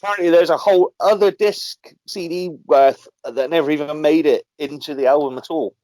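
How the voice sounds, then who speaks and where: A man's voice: on the high side, fairly quick, darkish tone, dry recording, low-quality recording. A man as a guest on a music podcast, hesitant and trailing off as he shares trivia. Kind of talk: casual chat about music.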